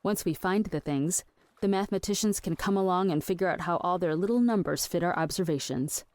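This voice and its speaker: An actress plays an earnest woman researcher in an audio drama. Her voice sits a little low for a woman. She lays out fringe theories in a measured, pedantic, matter-of-fact manner. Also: a little mellow, dry recording, close to an everyday voice. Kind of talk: scripted audio drama acting.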